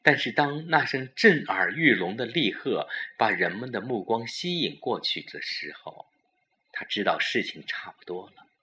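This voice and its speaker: A man narrating a scripted suspense story in a dramatic, deliberate storytelling manner. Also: on the low side, lively intonation, pushed and bright.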